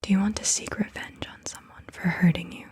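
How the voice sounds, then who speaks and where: A woman softly asking questions in an ASMR style, in a breathy whisper with gentle, unhurried delivery. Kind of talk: soft-spoken ASMR interview questions. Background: computer keyboard typing.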